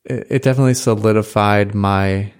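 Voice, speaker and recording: A man co-hosting a casual chat, easygoing and agreeable, often answering with a relaxed yeah. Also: lowish voice, brisk, smooth. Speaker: podcast co-host.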